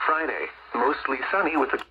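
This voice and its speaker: A man's voice: fairly high pitch, sing-song, brisk, lo-fi sound. Through a noisy radio speaker, a man's mid-range voice delivers a weather forecast. The reading is formal and scripted, in the manner of an official announcer. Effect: played through a radio speaker.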